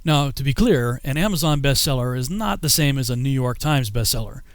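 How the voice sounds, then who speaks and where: A man with an American accent narrates his own story in a scripted documentary style. His mid-pitched voice sits in a close, dry recording with no room sound. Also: expressive, brisk, dry recording. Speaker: filmmaker and author narrating his own journey.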